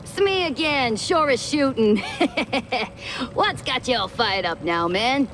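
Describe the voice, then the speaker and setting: A woman's voice: a little high, animated, takes it slowly, a bit bright, slight background noise. A woman playing a cheeky, folksy guide in a cartoon game, with a playful drawl and slangy way of talking. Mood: teasing. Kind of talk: cartoon video game acting.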